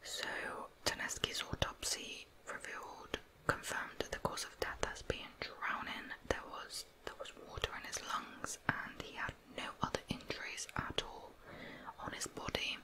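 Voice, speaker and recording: A woman whispering a true crime narrative in ASMR style, softly and in an unhurried, explanatory way. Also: rough recording.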